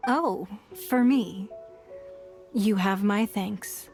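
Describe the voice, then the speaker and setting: A woman's voice: medium pitch, extremely slow, darkish tone. Casting: a poised rich noblewoman in a game, leisurely and elegant, faint music behind. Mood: gracious. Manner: elegant, formal. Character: gracious, poised, wealthy noblewoman leader. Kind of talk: video game voice acting.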